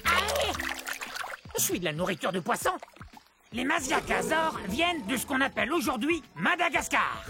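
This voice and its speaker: An actor playing an expressive, friendly dinosaur who talks to young viewers in an educational children's cartoon, with music behind. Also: high voice, moderate pace, vocal fry, heavily acted. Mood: excitable, boastful. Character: huge clumsy friendly dinosaur; small boastful excitable dinosaur.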